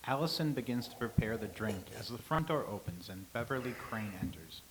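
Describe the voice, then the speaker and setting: A man reading the stage directions aloud in an even, matter-of-fact way. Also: medium pitch, a little fast. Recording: faint hiss.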